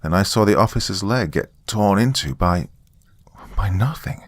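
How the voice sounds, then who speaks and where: A man giving a dramatic, scripted first-person horror narration, suspenseful and deliberate, with pauses for effect. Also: lowish voice, expressive, dry recording.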